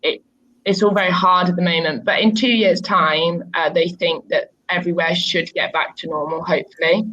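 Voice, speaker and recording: A woman English teacher talks with her student over a video call, chatty and relaxed, filling her pauses with ums. Her voice sits a bit low. The call audio sounds dull and muffled. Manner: chatty, easygoing, many ums. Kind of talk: casual conversation lesson.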